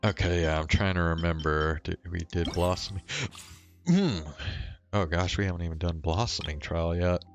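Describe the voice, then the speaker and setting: A man's voice: low voice, normal speed. A man streaming a game with casual, mildly exasperated commentary, swearing freely. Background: video game music.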